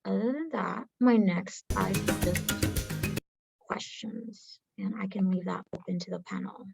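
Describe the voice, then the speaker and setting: A young woman presents on a video call in a mid-range voice, chatty and quick to laugh. Clear music plays underneath from a shared video. Her delivery is casual and relaxed. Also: mid-range voice. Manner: chatty, laughs easily, uses "like". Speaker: engineer presenting on a career panel. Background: video soundtrack music.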